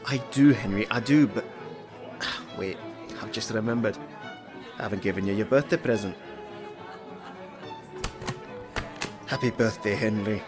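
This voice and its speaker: An actor playing a loyal, cautious Victorian gentleman and adviser, speaking in a measured, formal way. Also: lowish voice, natural voice. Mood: warm, reassuring. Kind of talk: theatrical period audio drama acting. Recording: music playing under the dialogue.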